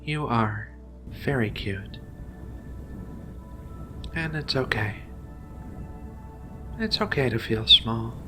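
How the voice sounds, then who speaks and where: Male ASMR roleplayer, gentle alien researcher: slow, soothing, reassuring delivery with music underneath. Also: medium pitch. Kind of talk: soft-spoken ASMR roleplay.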